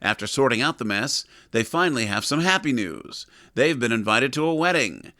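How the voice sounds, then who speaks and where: A man's voice: mid-range voice, sing-song, moderate pace, bright, forceful tone, no echo, clean studio sound. A man narrating an audio comedy in a scripted storytelling style.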